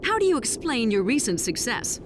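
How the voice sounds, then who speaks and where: High-voiced, eager reporter. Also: female voice, sing-song, a little fast, background noise. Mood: eager, admiring. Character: eager, polished female TV reporter. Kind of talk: video game news interview acting.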